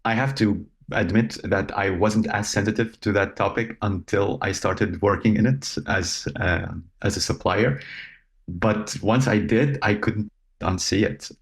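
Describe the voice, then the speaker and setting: A man, speaking as an interview guest in a measured, thoughtful way with frequent pauses. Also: fairly low pitch, husky. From Lebanon. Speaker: guest, robotics company founder. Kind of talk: casual interview talk.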